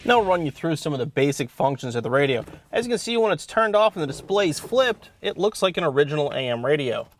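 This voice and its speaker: A man's voice: on the high side, big pitch swings, quick pace, hardly any pauses, faint music behind. A man hosting an instructional car installation demonstration, explaining step by step in plain-spoken language. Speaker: host of car installation how-to videos.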